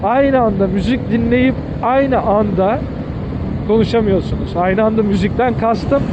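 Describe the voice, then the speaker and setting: High-voiced man over loud vehicle noise. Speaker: motorcycle vlogger reviewing gear. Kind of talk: informal product review addressed to viewers. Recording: dull audio under loud vehicle noise.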